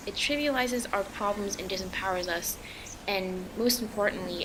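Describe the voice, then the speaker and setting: Young woman, reflective essay voice-over, soft, near-whispered in places, mid pitch, faint hiss. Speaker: student filmmaker narrating.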